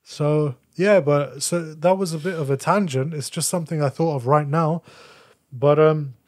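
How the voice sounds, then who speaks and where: A man talking as a podcast guest, explaining his views in an animated, blunt and casual way. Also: fairly high pitch, normal speed. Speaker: podcast guest, article writer. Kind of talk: casual opinionated discussion.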